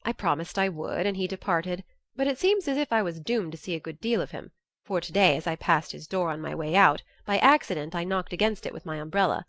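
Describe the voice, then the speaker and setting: A woman's voice: fairly low pitch, lively intonation, a little fast, fairly bright tone, dry recording, clean studio sound. A woman narrating an audiobook, reading a classic novel's first-person letters as scripted narration.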